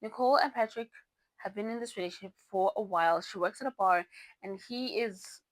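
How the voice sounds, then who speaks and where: A woman's voice: mid-range voice, brisk, dry recording, poor audio. Young woman, non-native english speaker, rambling chatty book vlogger, dry room. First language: not English. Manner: chatty, rambling, self-correcting, giggly asides. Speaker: book reviewer, channel host. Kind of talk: casual book review vlog.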